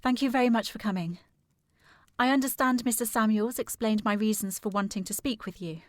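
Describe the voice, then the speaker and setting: A woman's voice: a little high, a little fast, no echo, lightly acted. An actress playing a polite, earnest and determined young lady in a period audio drama, with formal speech. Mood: composed, courteous. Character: distressed, polite, determined young lady searching for her sister.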